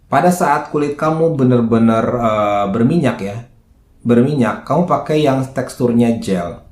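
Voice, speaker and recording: Man explaining, in an echoey room. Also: medium pitch. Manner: chatty, asks himself questions then answers them. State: slightly hoarse. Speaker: skincare educator and video host. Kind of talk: informal explainer to camera. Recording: roomy, echoing indoor sound.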